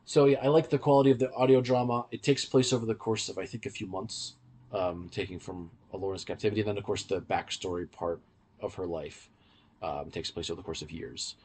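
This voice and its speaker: A man's voice: lowish voice, fairly even intonation, brisk, a little mellow, slightly rough. A man hosting a review podcast, explaining things in a casual, conversational way. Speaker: podcast host reviewing audio dramas.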